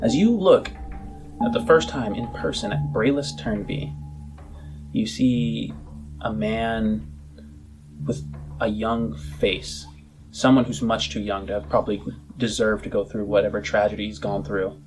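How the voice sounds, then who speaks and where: A low-pitched man narrates a tabletop roleplaying game as its game master. He speaks very slowly and descriptively, leaving dramatic pauses. Soft music plays behind him. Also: steady tone, low-quality recording.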